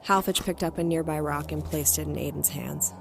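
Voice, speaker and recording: Audio drama lead: sardonic young woman, lowish register, blunt delivery, scored with music. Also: steady tone, brisk, creaky. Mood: ominous narration. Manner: wry, blunt, foul-mouthed. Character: Murphy, tough sardonic young woman, narrating her own story. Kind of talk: audio drama dialogue and first-person narration. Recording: music bed under the voice.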